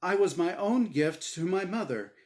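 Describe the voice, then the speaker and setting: A man's voice: high voice, expressive, normal speed, voice pushed up. A man voicing a hapless, bewildered ordinary man, a newly dead game show contestant, in a story reading. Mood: desperate. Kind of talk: character dialogue in a story reading.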